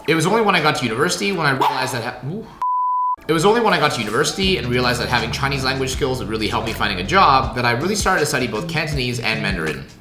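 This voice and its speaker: A young man who grew up in Canada, co-hosting a casual Q&A vlog in a measured, explanatory way. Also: medium pitch. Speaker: vlog co-host, former lawyer. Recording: echoey room with background music.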